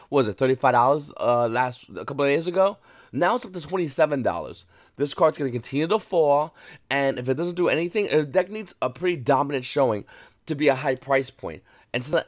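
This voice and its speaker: A man of middle pitch keeps his voice lowered as he talks at home, in a dry, close sound with little room in it. His casual commentary is blunt and opinionated, with an occasional swear. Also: no room sound. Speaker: card market commentator. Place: home, early morning.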